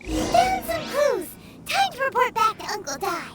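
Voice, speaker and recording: Squeaky, childlike little companion creature. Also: female voice, very animated, moderate pace, distant mic, exaggerated voice. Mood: earnest, uneasy. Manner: exclaiming, eager. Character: small, childlike, excitable female flying companion creature. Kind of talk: video game voice acting. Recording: loud background music under the voice.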